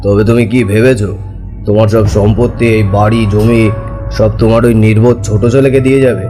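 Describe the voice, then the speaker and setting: Cartoon villain: greedy heir man voicing, lowered pitch, aggressive, impatient, commanding. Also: little pitch movement, fairly quick, over music, close to an everyday voice. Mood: threatening. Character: greedy, bullying grown son, villainous and impatient.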